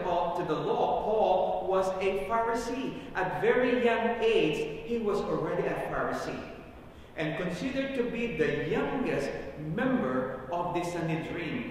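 A middle-aged man preaching a sermon as a pastor, haltingly and repeating phrases for emphasis. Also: sits high. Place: large church sanctuary, at the pulpit. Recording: very echoey church hall, distant mic, faint noise.

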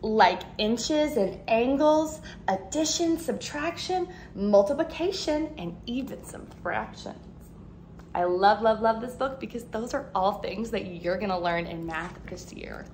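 A woman in her thirties, a teacher, reading a picture book aloud to young children in an expressive, animated way. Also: a little high, measured pace, slight background noise. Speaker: second grade teacher. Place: living room at home, indoors.

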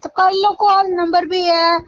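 A woman's voice: extremely high pitch, flat delivery, takes it slowly, a bit bright, exaggerated voice. A young woman playing a defensive, exasperated ex-girlfriend in a staged phone call, arguing back with questions. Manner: argumentative, retorting with questions. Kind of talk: staged phone-call conversation in casual Hindi. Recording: dull lo-fi phone-call sound.

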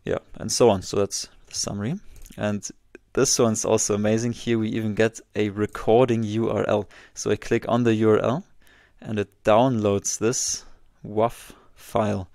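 A man in his twenties presents a software tutorial in a casual way. His voice is on the low side with plenty of creak. It is recorded close and dry at a desk microphone. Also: level tone, moderate pace, few pauses, dry recording. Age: 20s. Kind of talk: casual screen-share walkthrough.

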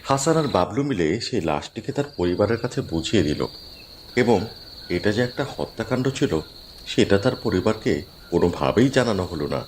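A man's voice: lowish voice, lively intonation, fairly quick, a little hiss or hum. A man narrating a cartoon folk tale with dramatic storytelling.

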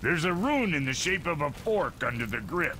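A man voicing a gruff, boastful, foul-mouthed dwarf blacksmith in a video game, gravelly, crude and folksy. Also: fairly high pitch, very animated, moderate pace, sharp tone, a little hiss or hum. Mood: boastful, salesmanlike.

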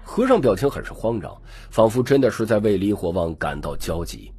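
A man's voice: fairly low pitch, very animated, fairly quick, dark tone, husky. A man narrating a scripted, dramatic audiobook story.

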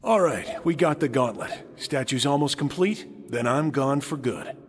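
A man playing a wry, wistful, world-weary survivor in a video game, musing conversationally in a husky voice. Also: medium pitch, unhurried. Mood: hopeful, restless. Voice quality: husky, rough-edged. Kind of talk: video game cutscene acting.

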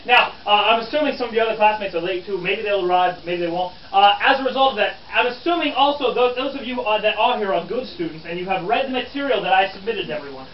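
Man acting a flustered, high-voiced pompous reporter, roomy sound. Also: expressive, fast, few pauses, slight background noise. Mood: bossy, flustered. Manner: theatrical, hectoring, stammering. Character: flustered, pompous, exasperated 1863 northern newspaper reporter lecturing pupils. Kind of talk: living-history stage performance for an audience. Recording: roomy, distant, dull live audience recording.

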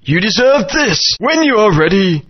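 A man's voice: high voice, sing-song, measured pace, heavily acted. An actor playing an earnest dinosaur tribesman in a video game. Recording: dull lo-fi game audio.

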